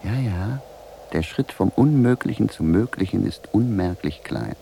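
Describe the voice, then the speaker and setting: An actor playing a weary, freezing wanderer who muses theatrically to himself in a radio drama. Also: male voice, on the low side, lively intonation, measured pace, vocal fry, mellow. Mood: surprised, pleased. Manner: theatrical musing, talking to himself. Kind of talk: German radio drama acting, soliloquy. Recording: noisy 1970s radio drama recording.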